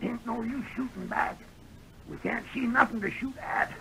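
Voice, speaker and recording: Shrill creaky old prospector. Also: male voice, moderate pace, a bit bright, exaggerated voice. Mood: wary. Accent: American. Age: older. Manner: rustic Western speech. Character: old male prospector, cranky but hopeful, folksy. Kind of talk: 1930s radio acting. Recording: noisy 1930s radio recording.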